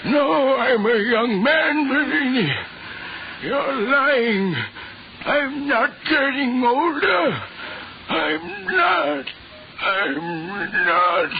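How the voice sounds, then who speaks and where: An actor playing a frightened young man in an old radio horror drama, halting and repeating himself as desperation grows. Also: very high voice, lively intonation, gravelly, heavily acted. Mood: panicked denial. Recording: muffled, noisy old radio recording.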